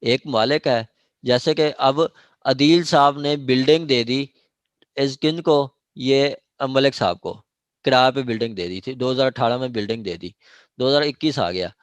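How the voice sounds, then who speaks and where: A male lecturer with a Pakistani accent speaks at a middling pitch. He explains informally and repetitively, dropping English terms into his speech and often pausing on short check phrases. The sound is close and dry, with little room in it. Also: clear, resonant tone, no room sound. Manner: switches between Urdu and English legal terms. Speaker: law lecturer at a judicial academy.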